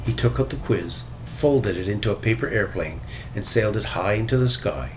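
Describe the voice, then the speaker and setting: A man narrates a children's story in a fairly low voice. His intonation is lively and varied, and light music is present. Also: moderate pace. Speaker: storybook reader.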